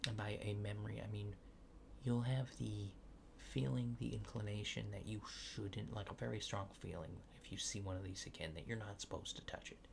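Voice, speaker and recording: Man, soft ASMR whisper, gravelly fry, hesitant and reassuring, casual alien technician roleplay, noisy recording with clicks. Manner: hesitant, rambling, low-key reassuring. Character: casual, polite alien technician erasing an abductee's memories. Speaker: ASMR creator.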